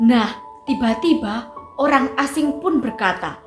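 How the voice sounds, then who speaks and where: A woman's voice: on the high side, lively intonation, unhurried. A young woman narrating a fairy tale for children, coaxing her listeners along. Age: young adult. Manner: warm, coaxing storyteller addressing children. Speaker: student storyteller. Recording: roomy echo, faint music.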